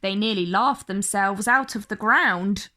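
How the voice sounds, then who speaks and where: A woman's voice: mid-range voice, measured pace, pushed and bright. A woman reading a picture book aloud for children as a storyteller, speaking directly to young listeners with dramatic emphasis. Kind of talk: expressive picture-book read-aloud for kids.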